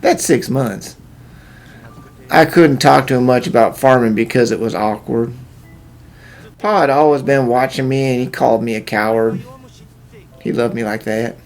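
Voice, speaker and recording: A man's voice: medium pitch, normal speed, pauses often, close to an everyday voice. A man playing a meek, defeated farmer with folksy rural phrasing and a resigned manner in a fan-dubbed cartoon. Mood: sorrowful. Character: meek, defeated young male farmer, self-declared coward. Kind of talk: fan-dub cartoon acting.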